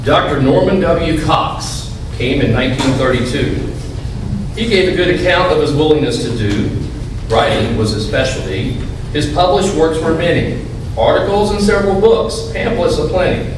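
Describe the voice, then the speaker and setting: A man's voice: on the high side, moderate pace, distant mic. A man reading a rhyming poem aloud in a church readers theater. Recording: echoey church hall from afar, noisy.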